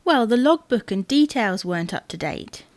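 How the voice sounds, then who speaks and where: Woman playing a deadpan housewife, close dry sound. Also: medium pitch, moderate pace, dry recording, close to an everyday voice. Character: dry, sardonic housewife, patient but unimpressed. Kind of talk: audio sitcom acting.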